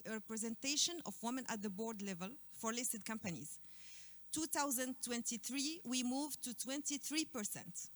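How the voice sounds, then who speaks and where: A woman's voice: on the high side, fairly bright tone. A woman from Morocco in the audience at a conference panel, making a comment in a hesitant way with many fillers and restarts. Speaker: audience member. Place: conference hall.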